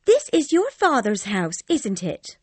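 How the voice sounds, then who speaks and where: A woman playing a haughty, lively young girl. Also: fairly high pitch, a little slow, slightly rough, dry recording, exaggerated voice. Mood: playful, curious. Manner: imperious, indignant, expressive. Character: spirited, haughty young girl of a gentleman's family. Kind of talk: dramatised audiobook dialogue.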